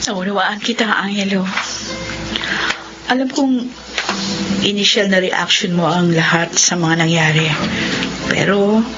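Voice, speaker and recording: An actress playing a gentle, faithful young wife in a radio drama, speaking softly and reassuringly. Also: female voice, medium pitch, steady tone, measured pace, pauses often, lightly acted. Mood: tender. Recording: muffled radio drama with music bed.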